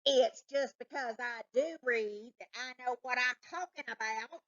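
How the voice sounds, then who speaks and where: A woman's voice: on the high side, a little slow, fairly bright tone, highs cut off. A woman reading a children's storybook, voicing a grumpy, suspicious, bookish male cat in a snappish, insistent manner. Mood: alarmed, insistent. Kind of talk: children's storybook read aloud.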